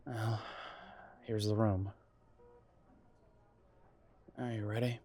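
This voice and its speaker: A man acting in an intimate audio roleplay as a nervous, reassuring grown son, speaking haltingly and affectionately to his partner. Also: on the low side, flat delivery. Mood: wistful, apprehensive. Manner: hesitant, halting, sighing delivery with soft chuckles.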